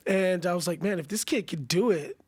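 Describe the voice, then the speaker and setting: A young man with a raspy voice, chatting casually and animatedly as a singer guest. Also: high-pitched, brisk, dry recording. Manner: casual, animated, says bro and man a lot. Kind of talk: casual chat.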